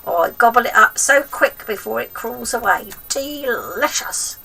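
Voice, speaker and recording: A woman's voice: fairly high pitch, lively intonation, unhurried, pushed and bright, recorded from a distance, voice pushed up, full character voice. A woman voicing a sinister, gloating old grandmother in a storybook, drawing out nasty words with menacing relish. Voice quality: throaty, breathy whisper at times.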